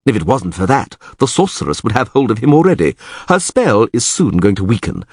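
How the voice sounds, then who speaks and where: A man's voice: fairly low pitch, big pitch swings, fairly quick, darkish tone, breathy edge, no echo, clean studio sound. A man voicing a know-it-all male priest in a fantasy storybook, pedantic and emphatic. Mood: irritated explaining.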